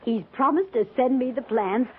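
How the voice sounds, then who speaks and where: Actress as a prim, fluttery high-voiced lady reformer. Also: normal speed, close to an everyday voice. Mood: naively delighted. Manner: genteel, effusive, proper. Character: prim, naive, earnest lady moral reformer from Washington. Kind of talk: 1950s radio western acting. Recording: narrow-band 1950s radio with background noise.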